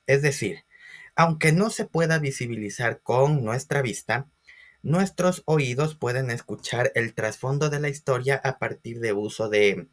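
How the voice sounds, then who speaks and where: A man presenting an informal online class as a teacher, expressive and speaking directly to his listeners. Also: mid-range voice, measured pace. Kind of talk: informal educational lesson for video.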